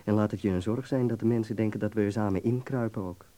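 A worldly young student played by a man speaks in a low, dark-toned voice. He is affectionate and teasing, philosophizing with fervour, in the style of a radio play. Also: steady tone, fast, lightly acted. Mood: tender, reassuring. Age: young adult. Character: worldly, affectionate German medical student in Berlin. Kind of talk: Dutch radio play acting.

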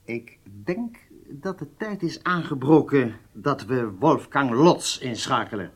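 A man playing a matter-of-fact, assured intelligence officer in a Dutch radio drama, sober and measured. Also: mid-range voice, expressive, slightly rough.

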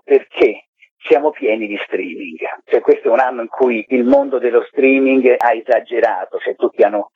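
A mature man is interviewed over a narrow, tinny phone connection. His voice is husky and sits at an ordinary male pitch. He explains things conversationally, often adding a short questioning tag. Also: no room sound. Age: middle-aged or older. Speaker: event curator being interviewed. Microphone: telephone.

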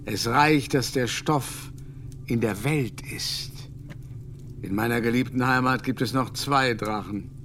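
An actor playing a learned English Jesuit doctor in a radio play, lecturing grandly and groping for German words. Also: male voice, mid-range voice, slow, a bit bright, slight background noise. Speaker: Jesuit scholar.

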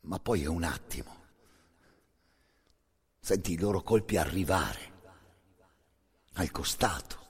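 In a long reverberant hall, a male actor narrates a dramatic monologue. His voice is very low and heavily husky. He speaks intensely, in short halting phrases. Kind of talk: dramatic second-person theatre monologue.

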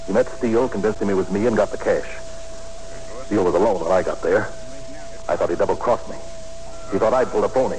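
Casting: man playing murderous nightclub boss, 1940s radio noir. Rapid, terse, matter-of-fact menace over a humming old recording. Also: deep voice, darkish tone, a little hiss or hum, close to an everyday voice, poor audio. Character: smooth, cold-blooded murderous nightclub owner with a gun.

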